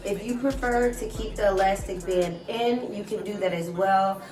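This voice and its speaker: A woman's voice: medium pitch, normal speed, a little mellow. A woman with a stuffy nose from a cold, chatting casually to viewers as she walks through a wig install. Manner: chatty, keeps addressing viewers as guys. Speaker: hair vlogger. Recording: roomy sound from a distant mic with music. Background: background music.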